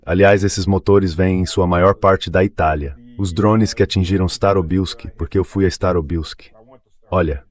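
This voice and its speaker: Very deep-voiced man explaining conversationally. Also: a little mellow. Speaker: interview guest. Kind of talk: dubbed translation of a spoken interview.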